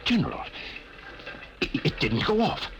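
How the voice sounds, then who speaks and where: An actor playing a shaken technician who stammers in panic, in an old radio drama. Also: male voice, a little high, very animated, measured pace, vocal fry, music in the background, heavily acted. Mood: panicked. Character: shaken male technician at a bomb test. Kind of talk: 1950s radio drama acting.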